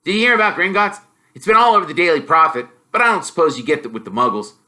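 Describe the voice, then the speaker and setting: A man voicing an excitable, blunt young wizard boy who blurts loudly and is easily made gloomy. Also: fairly high pitch, fast talker, voice pushed up. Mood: excited. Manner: loud, blurting, animated.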